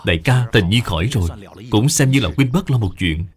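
A man's voice: on the low side, animated, brisk, natural voice. A man voicing a devoted, anxious official and father in a dubbed cartoon, speaking with earnest concern. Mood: reassuring. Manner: earnest, solicitous.

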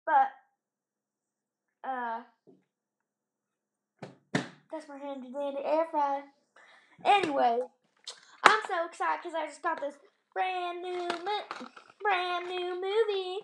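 A woman's voice: very high-pitched, takes it slowly, pauses often, noisy background. A young girl filming a house tour on a handheld phone indoors at night, rambling and playful with sudden exclamations. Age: child. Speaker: vlogger. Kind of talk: house tour vlog, casual chat. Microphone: handheld phone camera.

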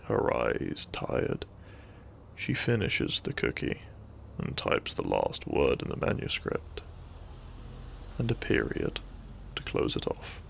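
A man reads a soothing bedtime story with heavy vocal fry and a very unhurried pace. His delivery is soft and breathy, often near a whisper, in a gentle ASMR style. Some faint background noise sits under the voice. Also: rumbling low, wildly swooping pitch. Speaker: sleep-aid audio narrator.

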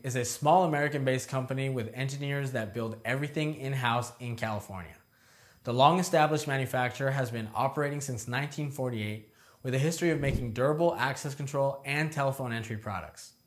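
A young man delivers scripted, informative narration as a video presenter. His pitch is average for a man, and he moves through his points in a structured way, setting off short headings. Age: young adult. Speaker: presenter of product review videos. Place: indoor studio with plain backdrop.

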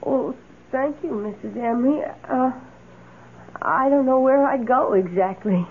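An actress playing a meek, lonely, adoring maid in a radio drama, speaking in a timid, halting, wistful way. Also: female voice, sits high, expressive, husky, exaggerated voice. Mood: deferential. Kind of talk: old radio drama acting. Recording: tinny, hissy old radio recording.

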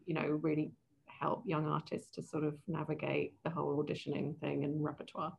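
A woman with a low, gravelly voice speaks as the guest on a video call. She explains how she casts singers and often stops to restart her thoughts. She has a light laugh. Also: monotone, brisk, darkish tone, poor audio. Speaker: opera casting director, guest. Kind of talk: casual interview talk.

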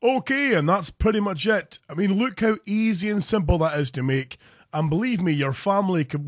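A Scottish man hosting a crude comic cooking show, narrating recipes with jokes. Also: on the high side. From Scotland. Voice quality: crude jokey, animated delivery. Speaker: comedy cooking show host.

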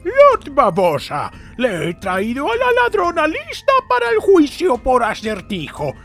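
A man's voice: extremely high pitch, huge pitch swings, a little slow, creaky, fairly bright tone, soft background music, full character voice. A man voicing a pompous, indignant little mushroom guard captain in a cartoon. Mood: officious.

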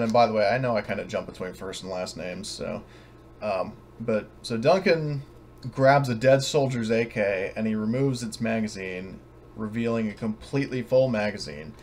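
A man narrating and refereeing a tabletop game as game master, halting and often correcting himself, over an online voice chat. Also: fairly low pitch. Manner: halting, hedging, self-correcting. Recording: noisy online voice chat recording.

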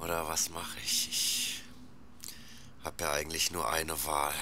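A man's voice: a bass voice, level tone, a little slow, pushed and bright, a little hiss or hum, exaggerated voice. A man playing a laid-back, hesitant but stubborn artist in an audio drama, speaking casually.

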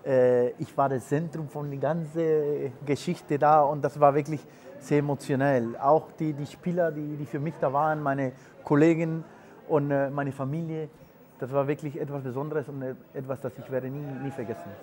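Brief: man in his forties from Peru, retired footballer as guest, searching for words, slightly higher voice. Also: fairly even intonation, normal speed, a little mellow. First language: Spanish. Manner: hesitant non-native German with many fillers. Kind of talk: casual interview answers.

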